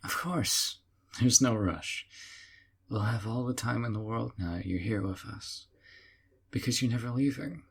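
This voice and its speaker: A man playing a gravelly doting yandere captor. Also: mid-range voice, a little slow. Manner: sweet, possessive, softly teasing. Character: doting obsessive young male yandere captor. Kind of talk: audio roleplay.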